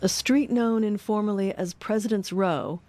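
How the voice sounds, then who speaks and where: A woman's voice: mid-range voice, normal speed, darkish tone, a little hiss or hum. A woman reading scripted documentary narration as the narrator, close on a studio microphone.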